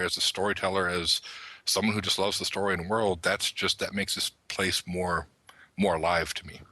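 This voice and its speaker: A man's voice: deep voice, bright, forceful tone, dry recording. A man on a gaming podcast panel, talking enthusiastically and at length about his thoughts. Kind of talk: panel discussion of an online roleplaying game.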